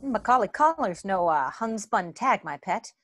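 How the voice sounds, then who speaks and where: A woman's voice: mid-range voice, expressive, moderate pace, a bit bright. A woman playing a dry, wisecracking magazine photographer in radio comedy style, wry and arch, on a home recording. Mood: wry, teasing. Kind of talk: 1940s radio comedy acting.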